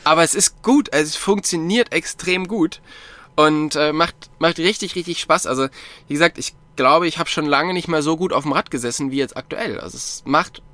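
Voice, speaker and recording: A man's voice: on the high side, normal speed, sharp tone, no echo. A man chatting casually as a podcast co-host about cycling, rambling with many fillers and restarts. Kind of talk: casual chat.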